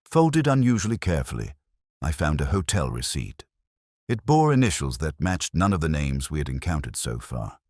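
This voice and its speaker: A man with an extremely deep voice narrates a detective mystery in a measured, reflective way. His pitch rises and falls freely through the scripted first-person storytelling, and the sound is close and dry. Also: no echo. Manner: measured, reflective, literary phrasing.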